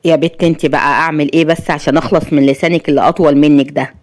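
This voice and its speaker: A woman's voice: low-pitched, steady tone, rapid-fire, a little mellow, natural voice. A woman voicing a lovesick, easily exasperated young husband in Egyptian Arabic, earnest and remorseful, in a told story.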